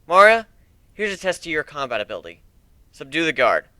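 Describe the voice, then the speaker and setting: A man's voice: fairly high pitch, sing-song, fairly quick, pushed and bright, no echo, heavily acted. Man playing a cocky, sardonic griffin pirate captain. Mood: lazy, commanding. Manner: lazy, sardonic, commanding.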